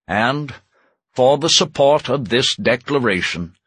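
Man playing a stately, husky statesman in lively oratory. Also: mid-range voice, no echo, full character voice. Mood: resolute, solemn. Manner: oratorical, solemn, deliberate phrasing with weighted pauses. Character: Thomas Jefferson, stately 18th-century American statesman, indignant and resolute. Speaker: historical interpreter. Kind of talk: formal dramatic recitation of a historical document.

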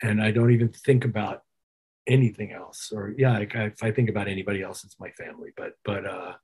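A man's voice: on the low side, fairly even intonation, brisk, a little mellow, breathy edge. A man speaking as a musician guest in an interview, reflective and conversational, repeating phrases for emphasis. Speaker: interview guest, keyboard player.

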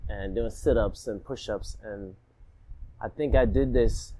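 A man with a fairly low voice, from Alabama and raised partly in Jamaica, telling his story as a podcast guest. He talks in a reflective, animated way. He often repeats himself for emphasis. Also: unhurried, poor audio. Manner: reflective, animated, rhythmic repetition. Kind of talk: casual storytelling in a podcast interview.

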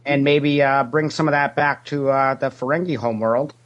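A man's voice: medium pitch, steady tone, moderate pace, lo-fi sound, close to an everyday voice. A man playing a cheerfully shameless, greedy Ferengi alien money investigator, talking in a hesitant, rambling, matter-of-fact way in an improvised interview. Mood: shamelessly mercenary. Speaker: interview guest. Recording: internet call connection.

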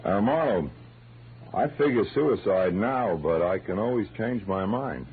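An actor playing a pragmatic, plain-spoken police lieutenant in an old radio drama, businesslike and familiar. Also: male voice, lowish voice, expressive, measured pace. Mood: matter-of-fact. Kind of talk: 1940s radio drama acting. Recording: muffled old radio recording, faint hum.

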